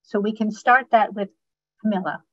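An older woman, in her sixties or beyond, moderating a panel over a video call with measured, deliberate phrasing. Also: mid-range voice, fairly even intonation, no echo. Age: 60s or older. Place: office with bookshelves, indoors. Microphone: computer mic on video call. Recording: dull, band-limited video call audio.

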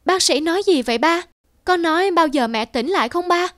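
A woman voicing a sweet, hopeful young daughter with a childlike, eager manner in an animated story. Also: extremely high pitch, brisk, no echo, clean studio sound. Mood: anxious hope. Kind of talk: animated moral-story dialogue.

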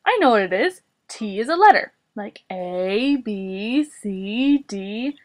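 A woman's voice: mid-range voice, expressive, takes it slowly. A woman voicing a naive, literal-minded male hoofed animal in a children's story, puzzled and blurting.

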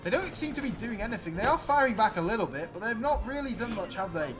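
A man giving excited, exclamatory play-by-play commentary on a video game battle, addressing his viewers. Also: sits high, fast talker, music in the background.

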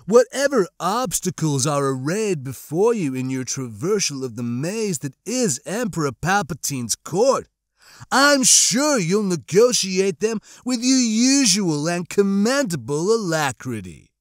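Guy doing sly spidery alien for an audiobook, swoopy highish voice, oily flattery, super sarcastic. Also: dry recording, clean studio sound. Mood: oily reassurance. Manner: unctuous, drawn-out, theatrically sarcastic. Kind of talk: audiobook character acting.

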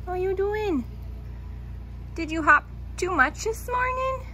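Woman, farmer, cooing to goats, high voice, slow gentle pace, barn, phone camera. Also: animated, a little hiss or hum. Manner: soft, cooing baby talk to goats. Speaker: farmer vlogger. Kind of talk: casual talk to animals. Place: indoor barn goat pen.

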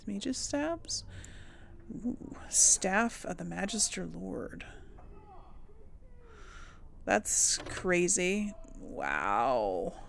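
Slow-talking woman gamer with vocal fry. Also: mid-range voice, fairly bright tone, slight background noise. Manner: informal, musing aloud to herself. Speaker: host of a gaming playthrough series. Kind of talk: casual let's play commentary, chatting to viewers.

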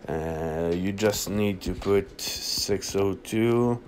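Slow, low-voiced man. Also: slight background noise. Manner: halting, hesitant delivery. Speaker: app tutorial presenter. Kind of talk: casual unscripted screen-recording walkthrough.

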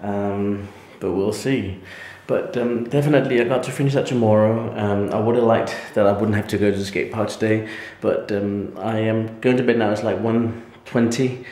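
Danish guy in his 30s vlogging late, tired, lots of ums, lowish voice, roomy echo. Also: steady tone, normal speed, hardly any pauses, darkish tone. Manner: hesitant, many ums, self-doubting. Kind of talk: casual late-night vlog talk. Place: dim room at home, indoors. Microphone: handheld camera at arm's length.

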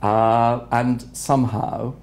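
An older man, a retired headmaster, answering interview questions in a measured, reflective way with frequent pauses, recorded on a lapel mic in an office room. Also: mid-range voice, flat delivery, darkish tone. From England. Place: office room with city view window.

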